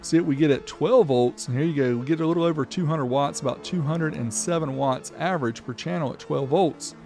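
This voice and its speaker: Man, fairly high voice, gravelly fry, step-by-step demo narration, faint music behind. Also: fairly quick, a little mellow. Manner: explanatory, reading out figures step by step. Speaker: host of a car audio amplifier test video. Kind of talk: demonstration narration with test readouts.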